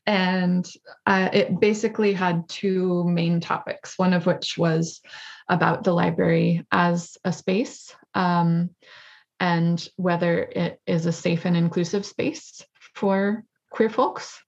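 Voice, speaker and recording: A low-voiced woman with a gravelly, husky tone walks through her research in a thoughtful, explanatory way. She is a native English speaker. The sound is dull and lo-fi, like a video call. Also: little pitch movement, slow. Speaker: guest researcher. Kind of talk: explaining survey results over a video call.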